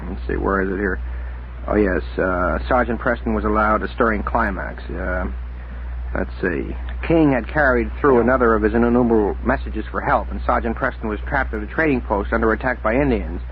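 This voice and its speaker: A man's voice: on the low side, fairly quick, phone-quality sound, slight background noise. A man hosting a conversational radio interview, recorded on an old 1960s radio tape. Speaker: radio talk show host. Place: radio studio.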